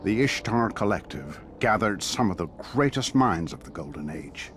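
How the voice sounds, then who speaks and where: Grave mission briefing dude in a game, lowish voice, formal, music under it. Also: male voice, expressive, moderate pace. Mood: solemn, formal. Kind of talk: scripted video game briefing.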